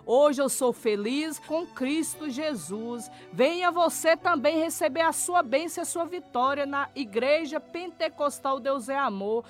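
A woman's voice: high voice, measured pace, hardly any pauses. A middle-aged woman with a São Paulo state Brazilian accent, giving an earnest, emphatic religious testimony straight to listeners. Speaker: church member giving testimony. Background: music.

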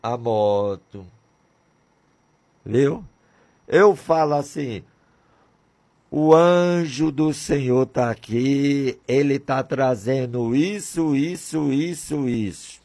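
A man's voice: mid-range voice, extremely slow, pauses often, warm tone. A man in his sixties from Brazil, talking on religious radio in a fervent, repetitive way with many rhetorical questions. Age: 60s. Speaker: radio presenter.

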